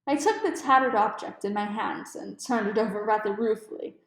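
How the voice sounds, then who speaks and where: A woman's voice: mid-range voice, fairly quick, creaky, roomy sound. A woman reading a classic story aloud as scripted narration.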